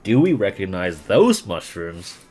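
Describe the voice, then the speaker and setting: Low-voiced man streaming a game. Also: very animated, a little slow, a little mellow. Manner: casual, unfiltered, thinking aloud. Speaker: streamer playing a video game. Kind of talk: casual gameplay commentary.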